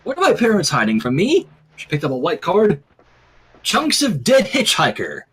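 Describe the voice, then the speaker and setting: A man's voice: sits high, normal speed, slightly rough. A man reading a story aloud expressively, acting out dialogue between casual remarks as a stream co-host. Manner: expressive, acts out dialogue while narrating. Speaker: fanfic reader and stream co-host. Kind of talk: animated storytelling read aloud with casual chat.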